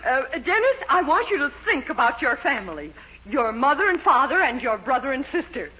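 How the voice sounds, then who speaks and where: An actress playing a bossy, exasperated, determined wife in a 1940s radio sitcom, speaking insistently. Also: female voice, high voice, very animated, a little fast, pushed and bright, full character voice. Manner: insistent, commanding. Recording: dull 1940s radio broadcast.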